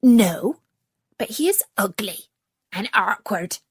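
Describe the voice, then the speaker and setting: Woman acting a disdainful, husky farmyard duck. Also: mid-range voice, animated, takes it slowly, no echo, studio quality, full character voice. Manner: dismissive, critical. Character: disdainful stranger duck, critical and intolerant. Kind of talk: storybook character voice.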